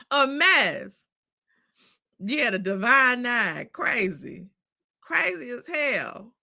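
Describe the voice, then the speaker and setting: Bright, sassy woman host. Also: fairly high pitch, sing-song, drawn-out words, creaky. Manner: sassy, exasperated, laughs often. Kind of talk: casual live commentary on reality drama.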